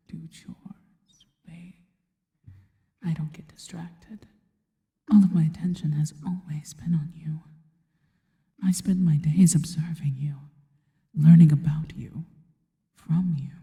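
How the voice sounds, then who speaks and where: Woman acting a whispering, devoted spider monster girl. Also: sits low. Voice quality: soft, breathy whisper. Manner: slow, deliberate, reverent. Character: devoted, worshipful female spider monster girl, adoring and possessive. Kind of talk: whispered intimate ASMR roleplay.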